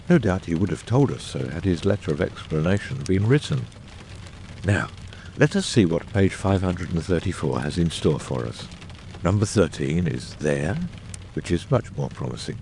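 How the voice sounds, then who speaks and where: A man acts out a brilliant middle-aged detective in an audiobook drama, with a very low, creaky voice. He is exacting and theatrical, phrasing eruditely with wide swings of pitch and deliberate pauses. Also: dark tone, slight background noise, heavily acted. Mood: brisk, reasoning.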